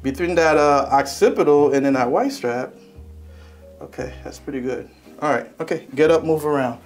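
A man's voice: fairly high pitch, moderate pace. Guy chiropractor, chill reassuring talk, keeps checking in, music behind him. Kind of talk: casual talk while treating a patient. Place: small chiropractic treatment room indoors.

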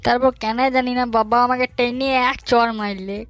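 A man playing a hapless, cheeky young bachelor in a comic cartoon, in colloquial rural western West Bengal dialect with a pitched-up voice. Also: fairly even intonation, measured pace, fairly bright tone, soft background music, heavily acted. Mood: naive. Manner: colloquial, pleading, bantering.

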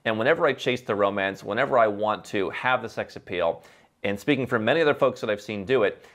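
A man in his thirties talks straight to the camera in a dim, book-lined studio set. He is animated and opinionated, and he uses his hands and fires off rhetorical questions. The voice is close and clean on a studio mic. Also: lowish voice, fast talker. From Ohio, USA. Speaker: host. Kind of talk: opinionated talking-head commentary. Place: dim indoor library set.

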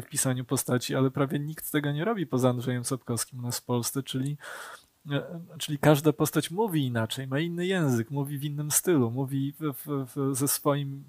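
Brief: middle-aged male director as interview guest, mid-pitched, reflective and halting, dry close mic. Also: darkish tone, dry recording. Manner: thoughtful, many hesitations and repeated words. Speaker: guest, film director and executive producer. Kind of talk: casual interview talk. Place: small studio set, indoors.

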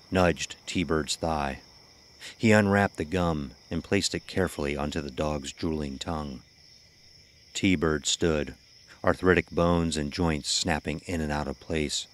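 A man narrating a scripted horror story as its storyteller. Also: extremely low pitch.

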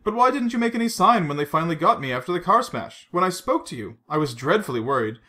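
A man's voice: fairly high pitch, sing-song, fast talker, bright, forceful tone, voice pushed up. A man reading aloud and voicing an injured male secret agent who talks in a clipped, reassuring, dryly wry way. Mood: worried.

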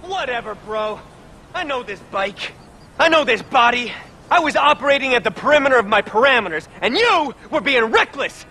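A man's voice: very high voice, sing-song, normal speed, sharp tone, slight background noise, full character voice. A man playing a cocky, intense extreme sports bro in a video game, boastful and goading in his talk. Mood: boastful, irritated. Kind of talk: video game cutscene dialogue.

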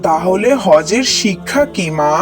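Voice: mid-range voice, expressive, measured pace, music in the background, close to an everyday voice. A performer voicing a curious, devout young son in a children's cartoon, earnest and full of wonder. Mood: curious, hopeful. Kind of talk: children's moral cartoon dialogue.

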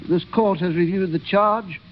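An actor playing a cold, pompous judge who speaks sternly and formally in a 1930s radio drama. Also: male voice, high voice, moderate pace, lightly acted. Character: cold, pompous male judge pronouncing sentence. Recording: dull, noisy 1930s radio recording.

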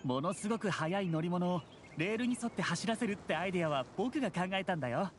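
A man's voice: sits high, expressive, fast talker, background noise. A man playing a brainy, reassuring young adult brother in a Japanese anime game. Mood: proud.

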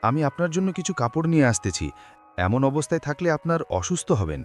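A man's voice: a little high, big pitch swings, fast, faint music behind. A man playing a polite, frightened young man in a cartoon horror story, with an anxious, dramatic manner. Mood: polite, reassuring.

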